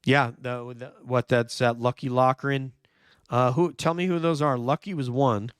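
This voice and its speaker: A hoarse man, nearly losing his voice, hosting a true crime livestream, talking emphatically and answering viewer chat. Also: medium pitch, steady tone, moderate pace, dry recording, studio quality. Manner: emphatic, repeats "no question", thinks aloud with fillers. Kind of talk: live commentary reading viewer chat.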